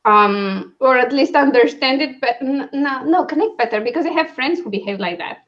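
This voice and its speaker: High pitched lady chatting about books, keeps cutting herself off, kinda muffled lofi recording. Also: expressive, moderate pace, a bit bright. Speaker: co-host of a book discussion. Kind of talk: casual book chat.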